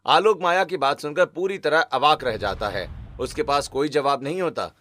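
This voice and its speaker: A man's voice: fairly high pitch, normal speed, fairly bright tone, slight background noise. A man narrating a scripted cartoon story as its storyteller. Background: background music.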